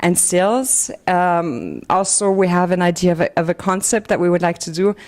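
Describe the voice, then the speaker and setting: A woman's voice: fairly low pitch, lively intonation, moderate pace, darkish tone. A woman co-founder presenting her company in a fluent, businesslike way, with occasional restarts. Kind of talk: pitch presentation.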